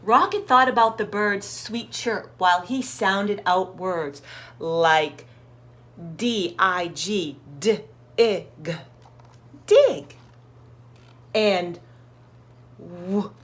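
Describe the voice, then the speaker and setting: A woman hosting children's storytime, reading expressively to young listeners and addressing them directly. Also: mid-range voice. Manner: slow, expressive, sounds out words and asks listeners questions. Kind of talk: picture book read-aloud for young children.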